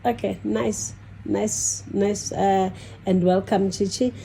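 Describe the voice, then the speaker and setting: A woman hosting a podcast, speaking slowly and steadily with frequent short acknowledgments. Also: mid-range voice, fairly even intonation, vocal fry, warm tone, a little hiss or hum, low-quality recording. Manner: slow, measured, frequent "okay" backchannels. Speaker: podcast host. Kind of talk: podcast interview.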